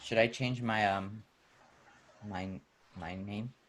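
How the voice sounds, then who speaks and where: A man's voice: fairly low pitch, fairly even intonation, slow, slight background noise. A man talking casually and informally on a group call. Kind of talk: casual chat.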